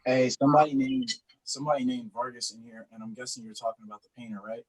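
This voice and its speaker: A man's voice: medium pitch, steady tone, fast talker, slightly rough, low-quality recording. A man in his twenties chatting casually on a livestream, reading out viewer comments and teasing his friends. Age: 20s. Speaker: concert organizer. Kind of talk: casual chat among friends.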